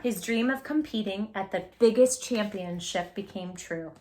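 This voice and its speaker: A woman hosting a children's read-aloud, expressive and encouraging, emphasising words and chatting directly to young listeners. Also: medium pitch, moderate pace, a little hiss or hum, poor audio. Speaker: storybook reader, channel host.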